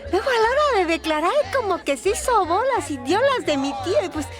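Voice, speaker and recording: A giggly, talkative waitress with singing dreams in a radio play. Her voice sits very high and swings freely, rambling in homely colloquial speech over a bed of music. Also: sing-song, brisk. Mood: worried, gossipy. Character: chatty, giggly barroom waitress and aspiring singer. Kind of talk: radio drama acting.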